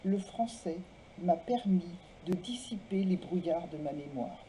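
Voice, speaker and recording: A woman presenting and reading literary texts aloud in a measured way, phrase by phrase. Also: lowish voice, expressive, warm tone, slight background noise, poor audio. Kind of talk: literary talk, biographical introductions and read-aloud texts.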